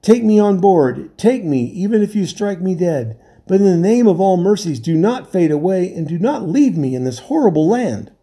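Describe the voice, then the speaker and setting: Wild haunted castaway, frantic, gasping, high strained voice, storybook. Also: male voice, sing-song, normal speed, few pauses, warm tone. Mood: pleading.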